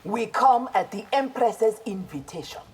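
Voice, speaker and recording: A woman's voice: a little high, animated, unhurried, husky, exaggerated voice. A woman playing a proud, honorable armored ninja in a video game, speaking formally and with earnest resolve. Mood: resolute. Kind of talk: video game character dialogue.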